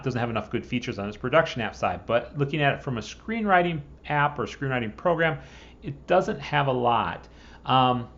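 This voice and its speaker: Man, forties, mid-pitched voice, conversational video host, casual software review, muffled home webcam audio. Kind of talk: casual scripted review to camera. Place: room at home, indoors. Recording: slightly dull webcam audio.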